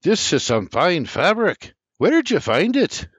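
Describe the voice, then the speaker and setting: A man voicing a gentle, admiring tailor uncle. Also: sits high, huge pitch swings, measured pace, slightly rough, no echo, voice pushed up, heavily acted. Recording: slightly muffled dry recording.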